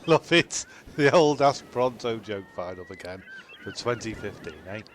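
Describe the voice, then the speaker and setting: A man's voice: mid-range voice, animated, moderate pace, a little hiss or hum, lightly acted. A man playing an indignant, nagging, sweary castaway in a comic radio play. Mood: needling.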